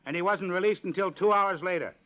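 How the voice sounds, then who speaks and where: An actor playing a blunt, skeptical American police inspector in an old-time radio drama, brusque and sarcastic. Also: male voice, high voice, brisk, a bit bright. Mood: smugly accusing.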